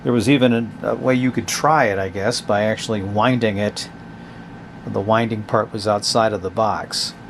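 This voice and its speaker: A man hosting a casual, unscripted product review, talking in a hesitant, halting, conversational way. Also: lowish voice, steady tone, a little hiss or hum. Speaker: host of a vintage radio review channel.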